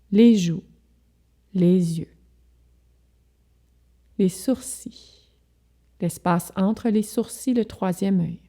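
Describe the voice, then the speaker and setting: A woman leading a guided relaxation as a yoga instructor, speaking calmly and soothingly in short, measured phrases with pauses. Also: lowish voice.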